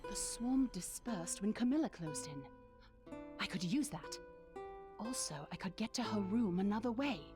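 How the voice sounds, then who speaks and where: A woman's voice: medium pitch, lively intonation, moderate pace, close to an everyday voice. A woman playing a determined, earnest young heroine, a loyal monster hunter in a fantasy game, with music behind her. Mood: focused, planning. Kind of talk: fantasy game character acting.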